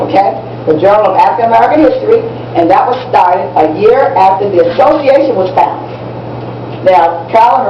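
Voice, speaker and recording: A woman's voice: mid-range voice, natural voice. A woman playing an enthusiastic teacher in a live amateur stage play, instructing students in an encouraging way and repeating herself for emphasis. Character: enthusiastic, proud woman teacher briefing young students on history. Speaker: teacher in a one-act play. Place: library event room, recorded from audience distance. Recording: distant, muffled, noisy echoey room recording.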